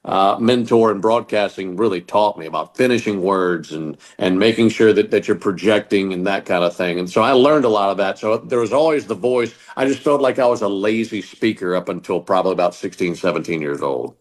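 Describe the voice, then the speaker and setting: A deep-voiced middle-aged man from Mississippi with a Southern American accent. He answers interview questions in an earnest, reflective way, sometimes stumbling over his words as he restarts. His voice sits low and carries the trained polish of a sports broadcaster. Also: brisk, hardly any pauses. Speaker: baseball broadcaster, guest.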